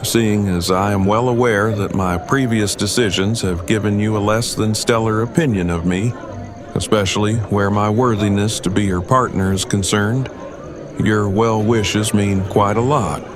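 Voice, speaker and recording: A man's voice: lowish voice, fairly even intonation, moderate pace, lightly acted. A man playing an earnest, wordy survivor in an audio drama, speaking in stiffly formal, precise phrasing. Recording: crowd chatter behind. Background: party crowd chatter.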